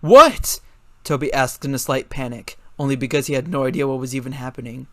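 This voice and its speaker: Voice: medium pitch, flat delivery, quick pace, no echo, clean studio sound. A narrator giving a scripted dramatic reading of a story.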